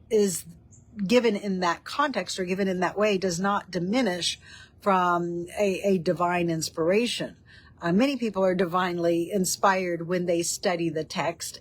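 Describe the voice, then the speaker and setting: A woman with a stuffy, nasal voice from a cold, talking through a study of ancient texts. She speaks earnestly and unhurriedly, stopping on ums and restarting her sentences as she thinks aloud. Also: medium pitch. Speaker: host of a Torah study show. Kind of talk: informal teaching talk.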